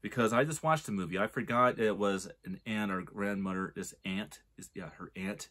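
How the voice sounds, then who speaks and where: Low-voiced man in his forties reviewing films. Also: moderate pace, a bit bright. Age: 40s. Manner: rambling, restarts sentences, argues a point. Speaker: movie reviewer. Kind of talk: casual spoken film review.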